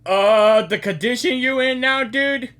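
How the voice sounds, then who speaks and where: A woman's voice: a little high, measured pace, a bit bright. A woman giving spontaneous reaction commentary on a game, exclaiming and repeating her words. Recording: game music behind the voice.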